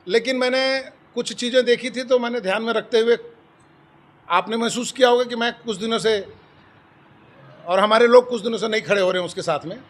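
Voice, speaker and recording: High-pitched man making a firm official statement. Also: a bit bright. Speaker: organization leader. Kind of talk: spoken statement to a news interviewer.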